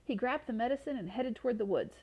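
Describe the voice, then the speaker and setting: A woman's voice: fairly high pitch, animated, fast, warm tone, slightly rough. A woman storyteller reading expressively to children. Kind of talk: expressive storybook read-aloud.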